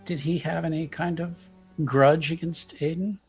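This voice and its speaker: A man's voice: a little high, steady tone, unhurried, soft background music, voice pushed up. A man voicing a humble, pious servant in a tabletop game, speaking in a cautious, formal and hesitant manner. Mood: cautious, grave.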